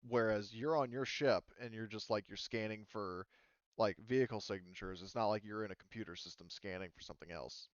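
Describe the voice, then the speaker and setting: A man's voice: on the low side, a little fast, smooth, dry recording, lo-fi sound. A man acting as game master, casually narrating and explaining rules in a hesitant, rambling way.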